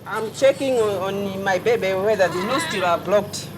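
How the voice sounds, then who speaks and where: A woman health worker talking haltingly through a hands-on demonstration, repeating words as she works. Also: mid-range voice. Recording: loud noise, crying behind the voice.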